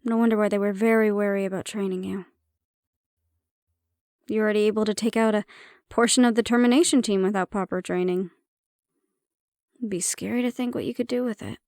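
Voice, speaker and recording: A woman playing a prickly but secretly caring handler in an intimate fantasy audio roleplay, speaking in a wry, measured, matter-of-fact way. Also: mid-range voice, steady tone, a little mellow, no room sound, studio quality, natural voice. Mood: musing, matter-of-fact.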